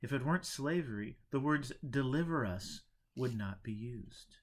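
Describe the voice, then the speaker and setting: A man's voice: medium pitch, lively intonation, natural voice. Man reading as a preachy, imperturbable monk. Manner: didactic, deliberate pronouncements. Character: imperturbable male monk teacher, preachy and teasing.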